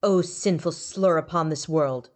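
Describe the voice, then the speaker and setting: A woman's voice: low-pitched, measured pace, darkish tone. A woman voicing a stern, pompous male wind god who declaims in lofty, formal speech.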